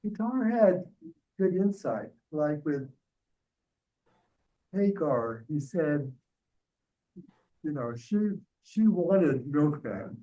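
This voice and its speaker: An older man taking part in a casual book discussion, speaking in a halting, thoughtful way. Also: a little high, lively intonation, warm tone, low-quality recording. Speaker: book club member.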